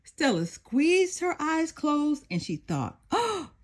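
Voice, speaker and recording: Woman storyteller, high voice, very lively intonation, children's read-aloud narration. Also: slow.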